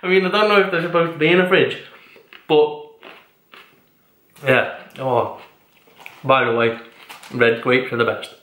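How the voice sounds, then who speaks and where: A man's voice: mid-range voice, steady tone, measured pace, pauses often, a bit bright. A young man, talking casually and at length in a personal vlog, rambling and self-deprecating with tangents. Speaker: vlogger. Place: indoors at home. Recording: echoey room.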